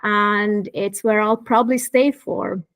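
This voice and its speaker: A woman's voice: mid-range voice, slow, fairly bright tone. Thoughtful woman from Croatia. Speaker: guest, fashion activist. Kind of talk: interview conversation.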